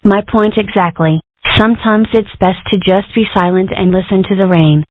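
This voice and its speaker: A woman's voice: medium pitch, steady tone, normal speed, no room sound, lightly acted. A woman voicing an opinionated, philosophical ten-year-old girl in a cartoon comic dub, earnest and wordy. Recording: muffled band-limited audio.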